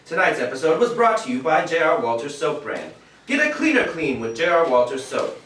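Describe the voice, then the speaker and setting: Man playing a lively old-time radio announcer, echoey. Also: a little high, brisk. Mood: showy, formal. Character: polished old-time radio announcer and dry sidekick actor. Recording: distant, echoey stage recording with hiss.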